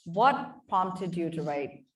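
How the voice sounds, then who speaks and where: A woman's voice: on the low side, lively intonation, slow, distant mic. Indian-accented woman moderator in an echoey hall. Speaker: conference co-chair and moderator. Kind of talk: panel moderation. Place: conference hall.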